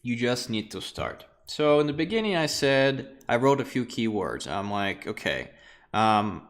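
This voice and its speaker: A young man with Russian as his first language talks through an art lesson live. His pitch swings widely, and he keeps it loose and chatty with frequent laughs into a desk mic. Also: a little slow. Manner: animated, chatty, laughs often, loose wording. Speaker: art lecturer streaming a class. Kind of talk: casual livestream lecture.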